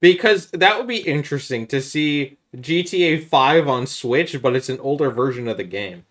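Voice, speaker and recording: A man's voice: medium pitch, animated, normal speed, fairly bright tone. Guy chatting casually about his opinions. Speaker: podcast co-host. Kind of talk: casual gaming podcast chat.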